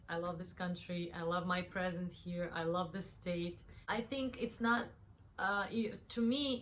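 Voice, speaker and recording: A woman's voice: medium pitch, a bit bright, slight background noise. A woman in her thirties from Russia, speaking English, talking earnestly to camera with many fillers and restarts. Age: 30s. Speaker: vlogger. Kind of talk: casual talk to camera.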